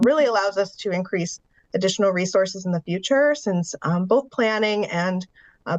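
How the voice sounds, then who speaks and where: A woman with a congested, under-the-weather voice walks steadily through funding numbers and programs for a county board. Her mid-pitched voice comes over a video call. The sound is dry and dull, like lo-fi call audio. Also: dry recording. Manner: steady, explanatory walk-through of figures and programs. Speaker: staff presenter at a county board meeting. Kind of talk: presenting a funding update in a public meeting.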